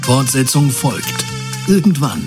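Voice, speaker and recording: Slow, measured male drama narrator. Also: medium pitch, lively intonation, over music. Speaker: narrator and announcer. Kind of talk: scripted audio drama narration.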